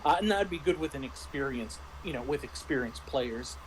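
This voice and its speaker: A man's voice: mid-range voice, lively intonation, normal speed, a little hiss or hum, low-quality recording. A man in a casual discussion, explaining like a game master and often rephrasing himself mid-thought.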